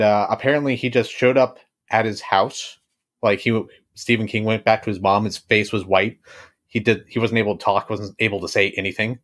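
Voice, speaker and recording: A man with a middle-pitched voice shares some background research in casual podcast talk. His tone is earnest, and he keeps checking in with his listeners as he goes. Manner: earnest, tells a researched anecdote with "like" and "right?". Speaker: podcast co-host. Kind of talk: casual storytelling in podcast chat.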